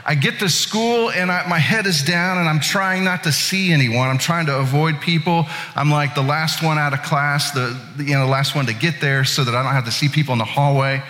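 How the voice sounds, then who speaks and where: A man's voice: on the high side, a little fast, hardly any pauses, pushed and bright. A man in his late thirties from Indiana, a pastor telling a folksy, self-deprecating story in a casual sermon. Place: church sanctuary. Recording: church hall with long reverb.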